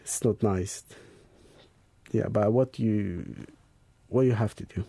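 A man's voice: lowish voice, slow, vocal fry, warm tone, husky, no echo. Young man with Iraqi Kurdish accent. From Iraq. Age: young adult. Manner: plain, broken-English phrasing, frank. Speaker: interviewee.

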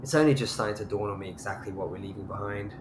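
A man's voice: fairly low pitch, rapid-fire, natural voice. A man playing an anxious, hesitant, old-fashioned husband in a naturalistic audio drama. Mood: worried. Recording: echoey, clearly noisy ambience.

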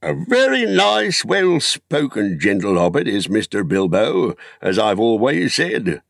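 A man voicing an old hobbit gardener, rustic and opinionated, with slow, emphatic, folksy pronouncements in a storybook reading. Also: on the low side, wildly swooping pitch, vocal fry, dry recording, studio quality, heavily acted. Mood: opinionated, assured.

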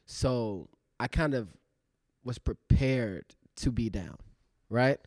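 A man's voice: mid-range voice, takes it slowly, a little mellow, no echo, clean studio sound. A man in his thirties, an actor co-hosting a podcast, talking in a loose, rambling way with many fillers. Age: 30s. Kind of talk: casual reflective chat.

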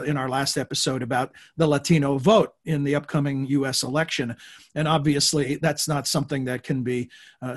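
A man with a fairly high voice moderates a podcast panel. He poses conversational, probing questions and steers the discussion toward each guest. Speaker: podcast host.

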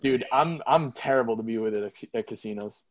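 A man hosts a casual podcast chat. He is from the United States and talks in a slangy, buddy-to-buddy way, with a medium-pitched voice. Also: a little fast, crowd noise. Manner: slangy, calls people dude and man. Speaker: podcast host.

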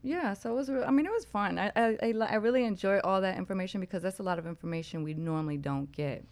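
A woman's voice: medium pitch, fast talker, a little mellow. A woman co-hosting a talk radio show in a casual, conversational style.

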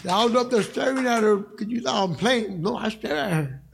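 A middle-aged man with a raspy voice, preaching a sermon in a church as a guest evangelist, passionate and conversational, joking with the congregation. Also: sits high, expressive, brisk. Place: church sanctuary.

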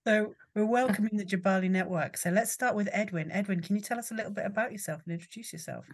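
A woman hosting an online panel talk, brisk and encouraging, with frequent mms. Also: mid-range voice, husky. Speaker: host.

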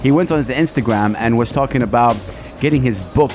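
A man's voice: mid-range voice, a little fast, over music. A man hosting a live radio show, hyped and loose with slang and lots of fillers. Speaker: radio host. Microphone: studio microphone.

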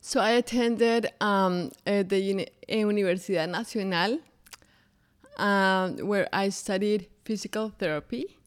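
A woman from Colombia, a native Spanish speaker, talking as a guest in an interview in an unhurried, thoughtful way with soft fillers. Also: mid-range voice, clear, resonant tone. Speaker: podcast guest, business founder.

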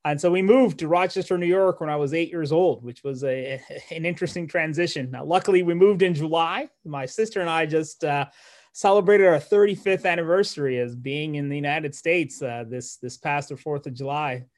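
A man in his forties from Malawi, a neurologist guest telling his life story in a relaxed interview, pausing and restarting as he thinks. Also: fairly high pitch. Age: 40s. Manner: thoughtful, with frequent restarts and light laughs.

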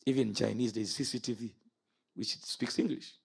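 Ugandan-born preacher guy in his fifties, gravelly husky voice, swings way up and down. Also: medium pitch, steady tone, moderate pace. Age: 50s. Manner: impassioned, rises and falls sharply. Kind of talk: sermon.

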